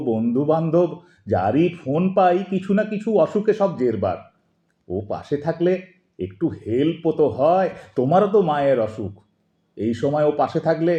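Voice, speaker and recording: A man's voice: on the high side, mellow, lo-fi sound. A man playing a henpecked but stubborn retired Bengali husband and father in an audio drama, moving between hesitant pleading and indignation.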